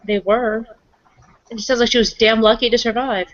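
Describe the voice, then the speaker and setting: A woman playing an outspoken, hopeful, excitable member of a werewolf pack in a tabletop roleplaying game. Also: fairly high pitch, moderate pace, no echo, muffled recording, natural voice. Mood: indignant. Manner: emphatic, frank, casual.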